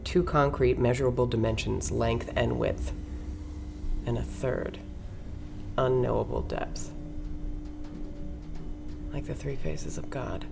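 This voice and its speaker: An actress playing a charismatic, commanding woman who leads a cultish circle, speaking in a measured, solemn, poetic way in an audio drama. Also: very deep voice, vocal fry, over music, full character voice. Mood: reverent. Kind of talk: scripted podcast drama acting.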